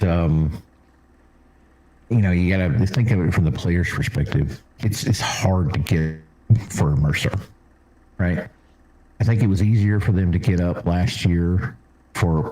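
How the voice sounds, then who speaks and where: Male co-host, deep voice, measured, casual. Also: dark tone, poor audio. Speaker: podcast co-host. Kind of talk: casual sports chat.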